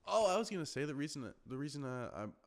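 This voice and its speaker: A man's voice: a little high, sing-song, quick pace. A young man hosting a solo podcast, chatting casually and rambling with many fillers and restarts. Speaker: podcast host.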